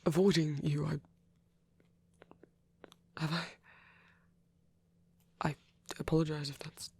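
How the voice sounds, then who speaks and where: A man playing a gentle, shy vampire priest in an intimate ASMR roleplay, speaking in a husky half-whisper with a hesitant, apologetic manner. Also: a little high, level tone, no echo. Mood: flustered, hesitant. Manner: hesitant, halting, apologetic, rambling.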